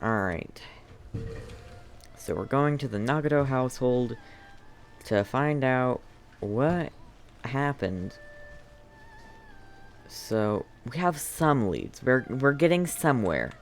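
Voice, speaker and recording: A game streamer giving casual live commentary, halting and thinking aloud. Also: mid-range voice, lively intonation, soft background music.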